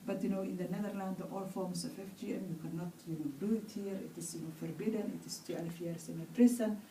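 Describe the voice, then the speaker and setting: Mellow Somali-accented woman in an echoey room. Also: fairly low pitch, steady tone, fairly quick. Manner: non-native phrasing, frequent "you know". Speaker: guest, campaign ambassador. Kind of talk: earnest interview answers. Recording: roomy, distant mic, faint noise.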